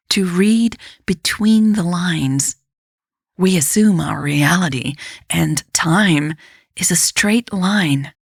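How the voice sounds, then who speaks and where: A woman storyteller reading scripted fiction like a book, in a measured, reflective and deliberate way. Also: fairly low pitch, gravelly, breathy edge, dry recording, studio quality. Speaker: podcast storyteller.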